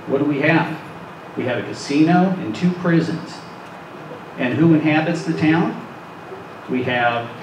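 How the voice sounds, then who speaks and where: A man speaks at a public hearing as a local councilman, at a medium pitch. He is deliberate and halting. The microphone is far away in an echoey room with clear background noise. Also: dull audio. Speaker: city councilman giving public testimony. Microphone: distant camera microphone.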